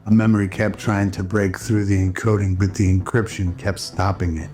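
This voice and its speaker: Noir radio serial narrator: man, low register, steady even intonation, music underneath. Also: normal speed. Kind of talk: scripted radio drama narration, pulp noir storytelling.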